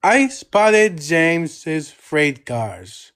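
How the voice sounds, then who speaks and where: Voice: mid-range voice, very animated, extremely slow, a bit bright, full character voice. A storybook narrator voicing a helpful male helicopter, calling out in a matter-of-fact way.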